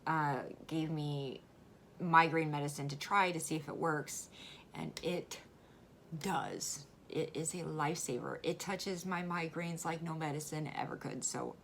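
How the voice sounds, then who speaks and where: A woman with a low, slightly hoarse voice chats earnestly straight to her viewers, doubling back on her words and breaking into soft laughs. Her talk is casual and plain. A faint hiss sits under the recording. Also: measured pace. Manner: chatty, repeats words, laughs often. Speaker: vlogger. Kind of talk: casual educational vlog.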